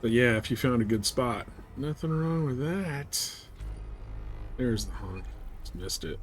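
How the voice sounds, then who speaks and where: A man's voice: medium pitch, lively intonation, measured pace, faint music behind. A man livestreaming a space game, giving casual, offhand commentary and chatting with viewers in short remarks. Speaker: live streamer playing a space game.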